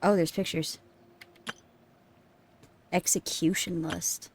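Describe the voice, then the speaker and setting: A woman's voice: on the low side, steady tone, slow, background noise. A woman streaming a game, reacting casually with jokes, swearing and frequent laughter. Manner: joking, swears, laughs often. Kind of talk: casual let's-play reactions.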